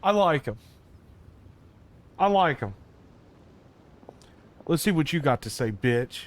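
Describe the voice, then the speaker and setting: A woman's voice: deep voice, big pitch swings, slow pace. A woman streamer giving brash, sweary, theatrical reactions to a game as she plays. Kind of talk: reactive gameplay commentary. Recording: game music behind.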